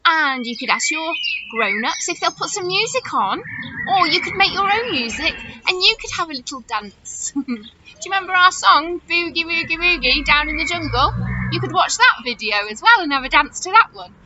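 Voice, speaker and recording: Female children's presenter, high swooping voice, chatty and questioning, for simple activities with little ones. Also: normal speed. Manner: animated, questioning, exaggerated gasps and wonder for children. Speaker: children's teacher hosting story follow-up activities. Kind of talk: chatty talk addressed to young children. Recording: dull audio with loud noise and clicks.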